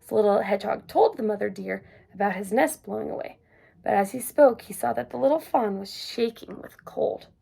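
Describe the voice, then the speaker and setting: A woman reading a children's picture book aloud, gentle and expressive. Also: mid-range voice, moderate pace, gravelly, slightly rough. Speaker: storyteller. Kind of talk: children's story read-aloud.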